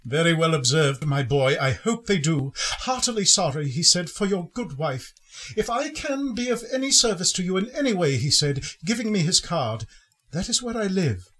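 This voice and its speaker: A man playing a gentle, grieving Victorian father in a radio play, speaking tenderly and earnestly in a husky voice. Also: high-pitched, very animated, normal speed, few pauses, full character voice. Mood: warmly earnest. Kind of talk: sentimental radio play acting.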